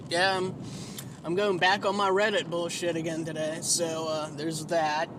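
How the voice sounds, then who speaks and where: A man's voice: on the high side, little pitch movement, normal speed, background noise. A man hosting a casual solo podcast, rambling and self-deprecating, with a whiny, nasal voice and free swearing. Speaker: podcast host.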